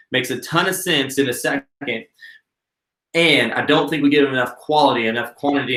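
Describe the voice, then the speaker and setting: An earnest man in his late thirties coaches a workshop, talking in a friendly, encouraging way. His voice sits at an ordinary middle pitch. The recording carries a noticeable roomy echo. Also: a bit bright. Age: 30s. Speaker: business coach. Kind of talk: instructional workshop talk.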